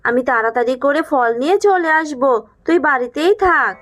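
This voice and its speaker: High-voiced caring mother fox played by a woman. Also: expressive, normal speed, a bit bright, dry recording, studio quality, faint music behind. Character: caring, anxious mother fox with a young daughter.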